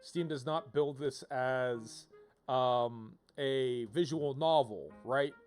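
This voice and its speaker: A man's voice: mid-range voice, slow, clean tone, soft background music. A man giving casual, rambling game livestream commentary, with wry playful asides as he reads on-screen text aloud.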